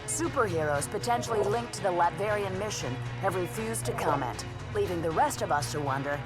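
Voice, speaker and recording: Woman doing a serious news anchor lady, formal and polished, game cutscene, big loud music underneath. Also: lowish voice, expressive, fairly quick, lightly acted, low-quality recording. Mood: grave. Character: grave female TV news reporter. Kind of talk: scripted news report in a video game.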